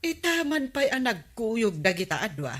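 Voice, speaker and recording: A woman's voice: on the high side, sing-song, moderate pace, fairly bright tone. A woman with an Ilocano accent playing a chatty, gossiping village neighbour in a comedy radio drama. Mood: gossipy. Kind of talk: broadcast comedy drama acting.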